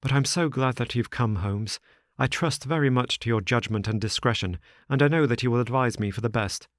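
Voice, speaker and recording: A man doing an anxious, careworn young gentleman. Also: medium pitch, fairly quick, no room sound, clean studio sound, voice pushed up, natural voice. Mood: distressed. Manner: urgent, distressed pleading.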